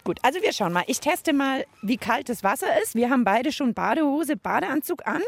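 A woman hosts a children's radio show. Her voice is slightly high and husky, with very animated intonation as she chats and reports. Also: a little fast. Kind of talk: lively on-location reporting and chat for children.

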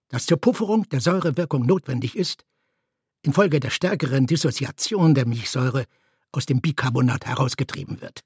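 A man's voice: a little high, husky, no echo, studio quality. A man giving a dramatic, formal literary reading of a bureaucratic text, with clipped, emphatic phrasing.

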